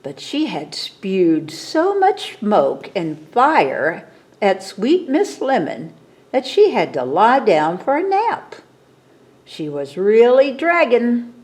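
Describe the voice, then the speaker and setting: A woman reading a picture book aloud at a library story time, expressive, with explanatory asides to children. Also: mid-range voice, slow pace.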